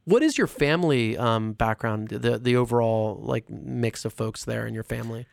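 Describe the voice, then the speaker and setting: A man's voice: medium pitch, normal speed, creaky, no echo. A man who grew up across Latin America, hosting a casual, chatty interview. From born in Los Angeles, grew up across Latin America. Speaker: interviewer, host.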